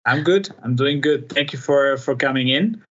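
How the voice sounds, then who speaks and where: A man speaks as a podcast host in a conversational interview. His voice sits at a middling pitch, and the recording is slightly dull and lo-fi. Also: normal speed, a bit bright.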